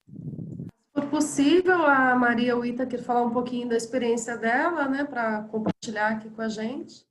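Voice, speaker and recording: A woman's voice: fairly high pitch, normal speed. A woman asks questions politely in an online meeting. Her voice bounces around an echoey room. A faint background hiss stays underneath. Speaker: participant.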